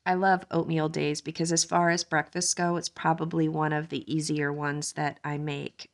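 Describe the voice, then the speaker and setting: A woman in her thirties with a lowish voice and a gravelly, fry-touched texture narrates her family's daily routine. She talks in a gentle, easygoing way, slipping in the odd "um" as she walks the viewer through her morning. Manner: gentle, conversational, with frequent "um" fillers. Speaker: homesteading vlogger and mother. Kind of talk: relaxed voice-over narration of a daily-life vlog.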